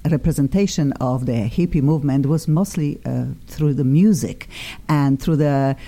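A woman's voice: low voice, normal speed, dark tone. A middle-aged woman from Poland with a Polish accent, hosting an interview, speaking in earnest non-native phrasing. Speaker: radio host.

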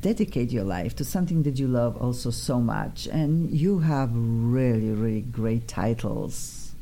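A Polish-accented woman hosting a casual studio chat, gushing and chatty. Her voice sits low and mellow but leaps around in pitch. A faint noise runs under the recording. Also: measured pace. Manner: effusive, chatty, flattering guests. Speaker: radio show host. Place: radio studio.

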